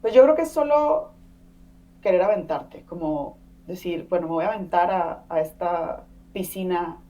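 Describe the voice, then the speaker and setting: Low mellow Mexican woman, distant mic. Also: moderate pace. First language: Spanish. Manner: relaxed, chatty, lots of fillers and tag questions. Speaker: podcast guest. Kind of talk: casual conversational interview.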